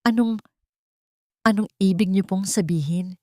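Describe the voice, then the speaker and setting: A woman's voice: mid-range voice, unhurried, warm tone, no echo, clean studio sound, close to an everyday voice. A woman voicing a greedy, polite businessman in an audio story, with a salesman's manner. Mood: uneasy. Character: smooth-talking greedy businessman buyer.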